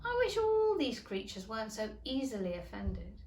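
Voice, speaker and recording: A woman's voice: fairly high pitch, big pitch swings, moderate pace, a little mellow. A woman voicing a polite, fretful little girl from a storybook, prim and plaintive, exclaiming as she speaks. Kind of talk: storybook character reading. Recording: echoey room.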